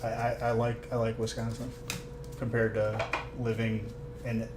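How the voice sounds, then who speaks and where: A man's voice: mid-range voice, completely flat delivery, unhurried. A young man from the Chicago suburbs with a Chicago accent, chatting casually on a live stream and using lots of "like" fillers. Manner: easygoing, many "like" fillers. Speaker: co-host of a card-opening stream. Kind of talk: casual chat. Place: shop room during a live stream. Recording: echoey room with background noise.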